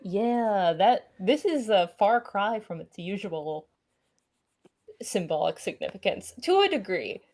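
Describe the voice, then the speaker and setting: A woman co-hosting a book club, explaining things casually in a measured, halting way and reading character lines aloud. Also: medium pitch, big pitch swings, a little mellow. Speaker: co-host reading a visual novel aloud.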